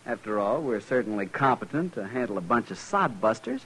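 An actor with an American accent playing a stiff, arrogant young army officer in a 1950s radio western, formal and clipped. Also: male voice, fairly low pitch, very animated, a little fast, fairly bright tone, poor audio. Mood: haughty, defensive. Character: stiff, arrogant, hawkish young cavalry lieutenant. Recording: hissy old radio broadcast.

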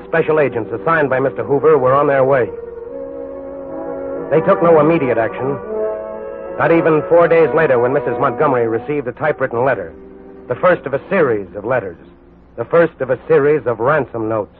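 An actor narrating a 1940s radio crime story in a solemn, dramatic, deliberate scripted style. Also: male voice, medium pitch, lightly acted. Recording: narrow-band 1940s radio recording.

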